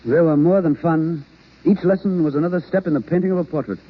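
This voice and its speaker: An actor playing a self-effacing, lovesick acting teacher in a 1930s radio romance, speaking earnestly. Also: male voice, on the high side, fast talker, lightly acted. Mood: resigned, encouraging. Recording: muffled old radio recording.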